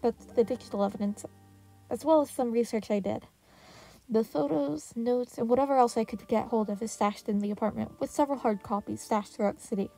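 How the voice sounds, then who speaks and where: A woman's voice: on the high side, fairly quick, creaky, dark tone, faint music behind, rough recording. A woman voicing a clever, guarded teenage boy in an audio story, explaining in a casual, determined, matter-of-fact way.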